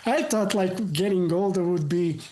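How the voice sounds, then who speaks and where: A man's voice: high voice, moderate pace, dark tone. A man interviewing a musician in casual chat, rambling and leaning on "you know" fillers.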